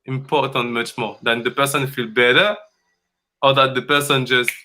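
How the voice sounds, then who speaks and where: A man from Congo, speaking as a dance coach in earnest, halting English and repeating phrases for emphasis. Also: mid-range voice, fairly even intonation, pushed and bright. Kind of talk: conversational coaching talk.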